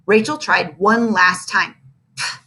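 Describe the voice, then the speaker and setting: A woman reading a children's chapter book aloud, bright and animated. Her pitch sits on the high side and moves in lively swings as she acts out every character. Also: unhurried, breathy edge. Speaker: reader.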